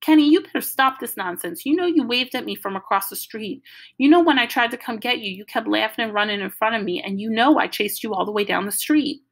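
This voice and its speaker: A bossy, panicky little girl, voiced by a woman reading aloud whines and scolds in a high, shrill voice. She sounds loud and insistent, with a gravelly edge. It comes from a storybook reading. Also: expressive, a little fast. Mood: whiny scolding.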